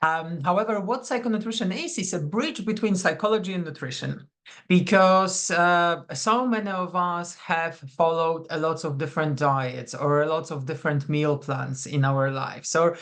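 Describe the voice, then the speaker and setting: A woman's voice: low-pitched, normal speed. A woman from Poland, a coach leading a live online masterclass in a chatty, welcoming way over a video call. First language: Polish. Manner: welcoming, chatty, greets attendees by name. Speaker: psychonutrition coach.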